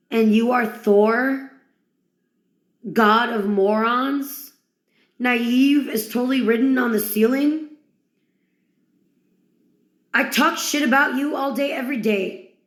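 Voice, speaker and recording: A woman with a fairly high voice performs a theatrical, taunting monologue. She speaks very slowly, with crude mockery aimed at a single listener. The recording is roomy and echoing. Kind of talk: dramatic mocking monologue to one listener.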